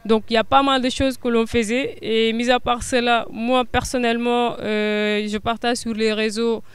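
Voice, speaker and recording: A young woman with a Senegalese accent answers questions earnestly, pausing often and filling gaps with hesitations. Her voice is very smooth and clear, and her pitch stays fairly even. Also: no room sound. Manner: hesitant, many filler sounds, earnest. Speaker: guest. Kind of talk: interview answers.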